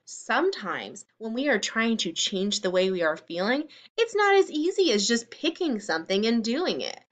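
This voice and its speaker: A woman's voice: fairly high pitch, sing-song, moderate pace, a bit bright. A woman reading a children's story aloud like a teacher, speaking expressively and explaining things simply to young listeners.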